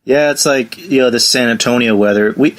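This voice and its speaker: A man hosting a podcast, chatting in a laid-back way with halting fillers. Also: medium pitch. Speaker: podcast host. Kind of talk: casual chat.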